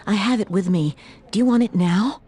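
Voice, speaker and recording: An actress playing a poised, playful undercover agent at a party in a video game. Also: female voice, mid-range voice, moderate pace, warm tone, breathy edge, natural voice. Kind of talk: video game cutscene acting.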